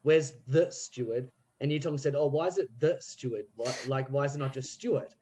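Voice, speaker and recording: A man in casual podcast chat about theatre, telling stories in an animated way and laughing often. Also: mid-range voice, fairly quick. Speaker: theatre group member.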